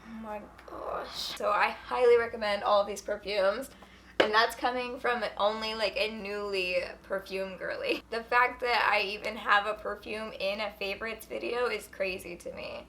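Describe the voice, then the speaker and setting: A young woman with a hoarse voice from a sore throat, chatting casually as she reviews beauty products, full of filler words. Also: mid-range voice, steady tone, normal speed, fairly bright tone, a little hiss or hum, low-quality recording. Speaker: beauty vlogger.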